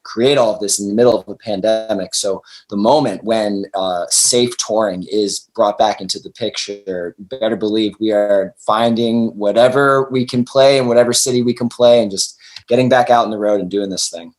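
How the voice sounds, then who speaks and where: A man's voice: lowish voice, steady tone, moderate pace, a little mellow, rough recording. A man in a band, chatting casually as he answers interview questions. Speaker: band member being interviewed. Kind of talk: casual chat.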